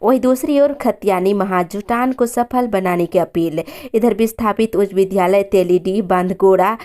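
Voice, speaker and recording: A woman's voice: medium pitch, moderate pace, a little mellow. A woman reading regional news headlines and reports as a scripted bulletin. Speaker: news reader.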